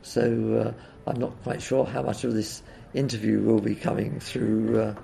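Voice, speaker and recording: Slow, gravelly older Englishman. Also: fairly low pitch, flat delivery, warm tone, slight background noise. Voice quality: croaky. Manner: hesitant, full of uhs and ums. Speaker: interviewee. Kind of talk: oral history reminiscence.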